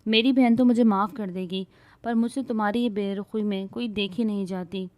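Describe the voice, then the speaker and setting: A woman's voice: mid-range voice, steady tone, darkish tone, close to an everyday voice. A woman voicing a young wife who pleads tearfully for forgiveness. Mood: tearful pleading.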